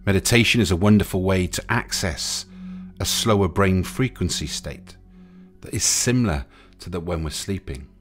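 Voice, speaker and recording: A man narrating a guided sleep meditation in a soothing, gentle, unhurried way, leaving pauses between short phrases. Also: low voice. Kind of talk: guided sleep meditation, hypnotic relaxation countdown. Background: music.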